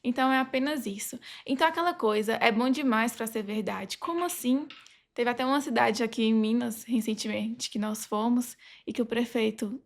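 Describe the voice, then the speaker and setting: A woman's voice: fairly high pitch, moderate pace. A woman with a Brazilian accent speaking as a podcast guest, sharing experiences from her job in a conversational, anecdotal style. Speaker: podcast guest, legal advisor.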